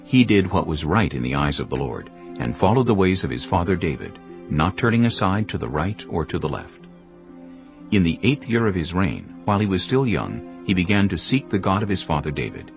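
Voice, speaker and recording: A man narrating scripture as a scripted drama, in a solemn, measured and expressive way. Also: sits low. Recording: dull recording with music underneath.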